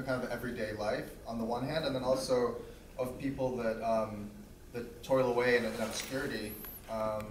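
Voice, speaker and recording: A male film director answering festival audience questions, halting, with many restarts. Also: fairly low pitch. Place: theater. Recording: echoey hall, distant mic, faint noise.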